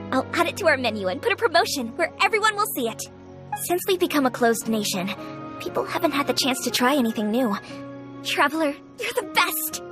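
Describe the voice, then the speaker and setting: An actress playing a bubbly, friendly young restaurant owner in a video game, upbeat and enthusiastic. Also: female voice, sits high. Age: young adult. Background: game music.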